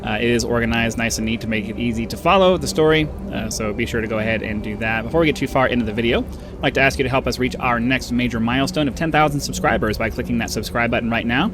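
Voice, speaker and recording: A man with a nasal voice hosting a casual let's-play, rambling and chatty, with a bass-boosted desktop microphone. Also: fast, background noise. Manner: rambling, chatty, with frequent uhs and little laughs. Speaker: gaming channel host. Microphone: desktop microphone with bass boosted by an equalizer.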